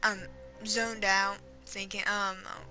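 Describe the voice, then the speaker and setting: Brief: female gaming streamer, very bright voice, unhurried, rambling casual commentary with faint game music. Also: mid-range voice, level tone. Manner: rambling, reacts aloud, repeats herself.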